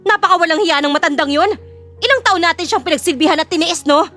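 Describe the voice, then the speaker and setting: A woman's voice: very high voice, quick pace, a bit bright, faint music behind. A woman playing a greedy, haughty middle-aged mother in an audio drama, with a scornful, theatrical delivery. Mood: indignant. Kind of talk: audio drama character acting.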